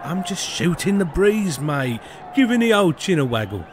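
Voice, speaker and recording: An actor playing a chummy but slyly threatening peasant in a comic fantasy audio drama. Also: male voice, fairly high pitch, sing-song, normal speed, some chatter behind. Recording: noisy outdoor ambience.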